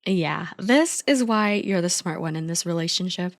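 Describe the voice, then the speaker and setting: A woman's voice: lowish voice, animated, normal speed, dry recording. Woman playing an affectionate, playful girlfriend, close dry sound. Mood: teasing, fond. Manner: teasing, supportive, chatty. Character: affectionate, playful girlfriend, clumsy but eager at baking. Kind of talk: ASMR roleplay.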